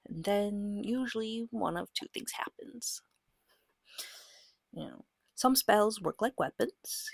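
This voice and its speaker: A woman speaking softly and breathily, often near a whisper, gently and patiently guiding a beginner as game master in an ASMR roleplay. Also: on the high side, creaky, husky, no echo. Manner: gentle, patient, reassuring, unhurried. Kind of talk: soft-spoken ASMR roleplay tutorial.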